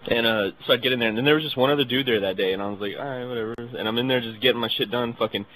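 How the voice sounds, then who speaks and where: A man's voice: mid-range voice, rapid-fire, poor audio. A young man casually telling a funny story to friends, full of swearing, slang and quoted dialogue. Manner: profane, slangy, animated retelling with quoted dialogue. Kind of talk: casual comedic anecdote among friends.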